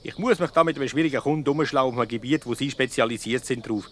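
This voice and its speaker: An actor playing a jovial, self-assured company director with a Swiss German accent, gallantly flattering in a radio comedy. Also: male voice, medium pitch, racing, natural voice. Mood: jovial, probing.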